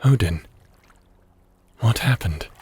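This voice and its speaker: A man playing a stern, blunt comrade in an audio roleplay, husky and measured, with a reproachful manner. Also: fairly low pitch, lively intonation, a little mellow, heavily acted. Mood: insistent. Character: stern, blunt male comrade pressing for the truth. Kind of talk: dramatic audio roleplay acting.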